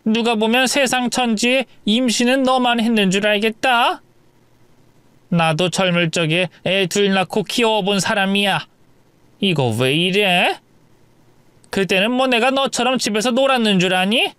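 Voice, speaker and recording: A man's voice: high-pitched, unhurried, a bit bright, smooth, no room sound, pitched above the performer's own voice. A man voicing a harsh, nagging older mother-in-law who scolds her pregnant daughter-in-law. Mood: scolding. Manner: nagging, contemptuous.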